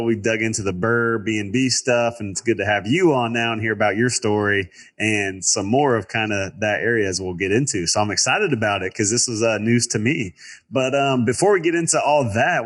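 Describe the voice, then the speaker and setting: A man's voice: medium pitch, a bit bright. A man hosting a real estate podcast in casual chat, sounding nasal from sinus trouble, upbeat and chatty. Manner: upbeat, chatty, some tongue slips. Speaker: podcast host.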